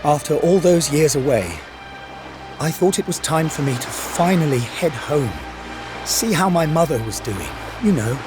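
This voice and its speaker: A man voicing a glum, worried male blacksmith who speaks slowly and warily, in a video game cutscene. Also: a little high, animated. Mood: sorrowful. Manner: glum, wary, slow storytelling. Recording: game audio with loud music behind.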